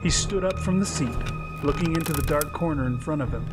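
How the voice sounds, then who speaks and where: A man's voice: fairly high pitch, fairly quick. Suspenseful male story narrator over music.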